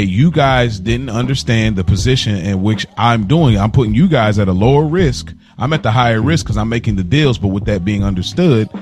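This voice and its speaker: Slangy man with a lowish voice, chatting. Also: darkish tone. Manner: informal and slangy. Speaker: podcast co-host. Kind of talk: casual chat, debating with a friend.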